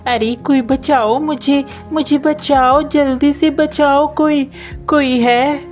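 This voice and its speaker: Man putting on a high-pitched pleading female fox. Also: steady tone, slow, soft background music. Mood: panicked. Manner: pleading, urgent.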